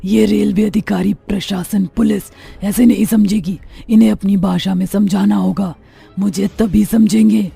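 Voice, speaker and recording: A woman voicing a fierce, vengeful witch mother in a cartoon horror story, scolding loudly and sternly. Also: medium pitch, steady tone, normal speed, gravelly, husky, faint music behind, exaggerated voice. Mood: menacing.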